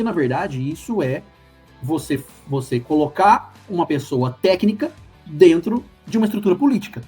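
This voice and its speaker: A Brazilian man, a lawyer guest, explaining in measured, halting sentences with many restarts. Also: fairly high pitch, warm tone, husky. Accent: Brazilian Portuguese. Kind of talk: casual discussion.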